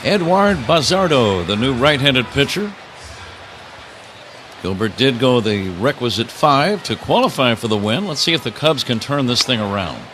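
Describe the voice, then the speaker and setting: A man's voice: mid-range voice, normal speed, slight background noise. A man calling a baseball game live on the radio as the play-by-play announcer, with the ballpark behind him. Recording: steady ballpark ambience behind the voice. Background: outdoor ballpark ambience.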